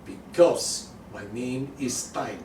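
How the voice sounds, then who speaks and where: A man's voice: mid-range voice, slow pace, a little mellow, voice pushed down, lightly acted. A man voicing an embittered male German-born scientist who speaks broken English with a put-on Russian accent and makes grand vows. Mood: bitter vengeful. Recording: echoey room, far from mic, faint noise.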